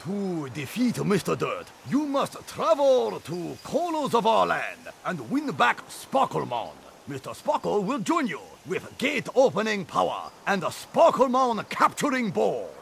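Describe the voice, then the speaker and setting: Excitable high-pitched game spirit mascot. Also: male voice, a little slow, creaky, few pauses, exaggerated voice. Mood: ceremonial, grateful. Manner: broken-English slogans, exclaiming, ceremonial. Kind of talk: parody Japanese video game cartoon. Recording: noisy game audio.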